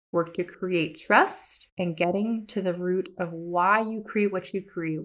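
A woman hosting an art business podcast, talking casually to listeners with self-deprecating humor and upbeat emphasis. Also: lowish voice. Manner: chatty, laughs at herself, upbeat emphasis. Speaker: podcast host and art educator. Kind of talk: casual teaching monologue.

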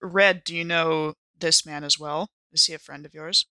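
A woman with a bright, forward voice, recorded dry and close. She roleplays at a tabletop game, choosing formal words and pausing often as she improvises. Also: deep voice, fairly even intonation, no echo.

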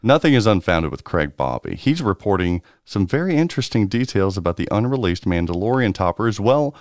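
Man, podcast co-host, low voice, dry recording, rambling casual chat. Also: very animated, fairly quick, dry recording. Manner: rambling, lots of restarts and fillers. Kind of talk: casual chat about pinball news.

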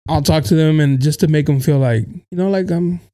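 A man's voice: fairly high pitch, brisk, warm tone. A man whose first language is Spanish, hosting a podcast in casual, chatty conversation, laughing often and filling his talk with "like". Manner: chatty, laughs a lot, frequent fillers. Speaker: podcast host.

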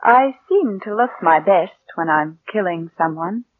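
An American actress playing a cold, calculating murderess who passes as meek, in controlled, deliberate mid-century radio suspense style. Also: female voice, lowish voice, lively intonation. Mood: coldly gloating. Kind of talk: 1940s-50s American radio suspense acting and narration. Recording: muffled vintage radio recording.

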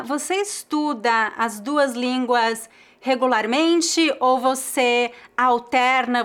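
A woman's voice: a little high, a bit bright. A Brazilian woman hosting an interview with a student, enthusiastic and encouraging. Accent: Brazilian Portuguese. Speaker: host, Portuguese teacher.

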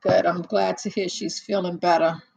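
A middle-aged woman hosting a casual livestream chat, rambling and chatty with lots of fillers and laughs, a little hoarse as she loses her voice. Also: lowish voice, level tone, moderate pace, a little mellow, no echo. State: losing her voice, slightly hoarse. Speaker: livestream host. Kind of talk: casual chat with live viewers.

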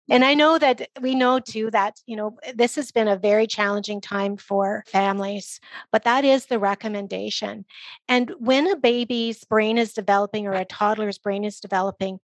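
A woman speaking as a guest expert, giving measured, articulate explanations in an interview with emphasis on key phrases. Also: medium pitch, no echo. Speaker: guest expert, early literacy organization leader.

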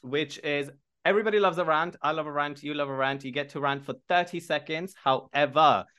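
Dutch-born guy hosting, fires off quiz questions quick and upbeat, a bit higher voice. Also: pushed and bright, clean tone. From Netherlands. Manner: brisk, upbeat quizmaster. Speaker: podcast host. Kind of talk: quiz questions in casual chat.

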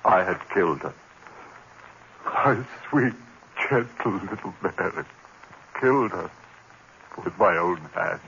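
A man's voice: deep voice, expressive, takes it slowly, creaky, long pauses, sharp tone, full character voice. An actor playing a haunted lecturer who narrates his own descent into madness in an intense, brooding tone, in 1940s radio acting style. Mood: haunted narration. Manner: intense, brooding, breaking into frantic outbursts. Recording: muffled old radio broadcast with hiss.

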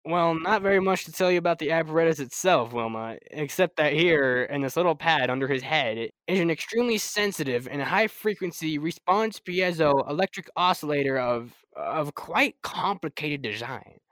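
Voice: medium pitch, animated, normal speed, few pauses, fairly bright tone, no room sound, lightly acted. Amateur actor as eager, lecturing radio-serial scientist. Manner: wordy, lecturing, technical explanations. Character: excitable, proud old-time male scientist-inventor, learned and eager. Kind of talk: old-time radio serial acting, by amateur school players.

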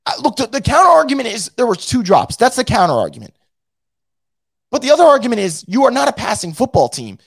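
A hoarse man whose voice is nearly gone rants through a casual fan show. His pitch sits high and swings widely, and he talks bluntly, swears freely and restarts his sentences often. The sound is dry and close. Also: very animated, fast, no echo. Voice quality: blunt and profane, with many restarts. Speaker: fan show host reading live chat. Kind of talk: casual post-game rant.

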